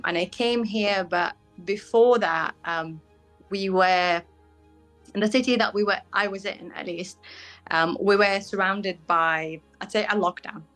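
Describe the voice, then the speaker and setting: A woman's voice: mid-range voice, fairly bright tone, rough recording. British-accented young woman, frank guest. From Syria. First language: Arabic. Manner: frank, fills pauses with "um", searches for words. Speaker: guest, bridal fashion designer. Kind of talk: casual interview answers.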